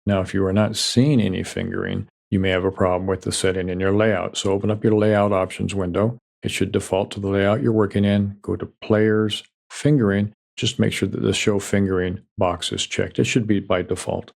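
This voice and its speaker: A man giving a calm, methodical, step-by-step software tutorial over a screen recording. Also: on the low side, moderate pace, gravelly, hardly any pauses.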